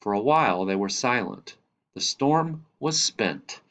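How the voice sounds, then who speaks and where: A man's voice: fairly low pitch, lively intonation, unhurried, fairly bright tone. A man narrating a storybook read-aloud, recorded with slightly dull, lo-fi sound.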